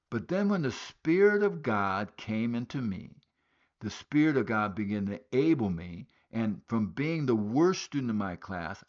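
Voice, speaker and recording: A man's voice: fairly low pitch, dull audio. A man from West Africa, born in Liberia and raised in Guinea, preaching a sermon, telling a story earnestly and asking rhetorical questions.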